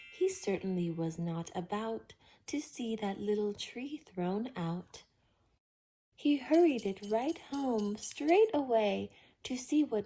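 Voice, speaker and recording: A woman reading a children's storybook aloud as host, with an expressive, theatrical, rhythmic delivery. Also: a little high, breathy edge.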